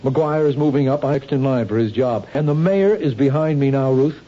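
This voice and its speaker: An actor playing a patient, concerned husband in a 1970s radio drama, earnest and gently reassuring. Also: male voice, mid-range voice, fairly quick, close to an everyday voice. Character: concerned, patient husband, a city hall official. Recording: dull, lightly noisy old radio recording.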